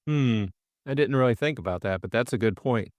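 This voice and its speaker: A man's voice: medium pitch, a little fast, a little mellow, no echo. A middle-aged man co-hosting an audiobook, narrating and chatting informally about the book in a hesitant way. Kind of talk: narration and casual book discussion.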